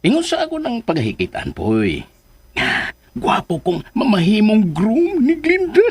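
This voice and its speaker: A boastful, lovesick comic suitor in a radio drama. His voice is husky and slow, leaping wildly up and down as he teases and brags. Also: sits high, wildly swooping pitch, a little mellow, full character voice. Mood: boastful, smitten. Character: boastful, lovestruck man courting a woman, teasing his pal. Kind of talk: Filipino radio drama acting.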